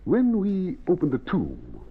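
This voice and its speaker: Expressive, formal archaeologist. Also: male voice, fairly high pitch, slightly rough, exaggerated voice. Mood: grave explaining. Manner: formal, measured lecturing. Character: proud, formal archaeologist doctor, earnest and grave. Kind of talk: old-time radio acting. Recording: muffled, noisy old radio recording.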